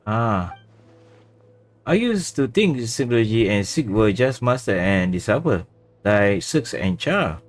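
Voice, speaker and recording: A low, thoughtful companion. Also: male voice, big pitch swings, a little slow. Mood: pondering. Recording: faint music behind.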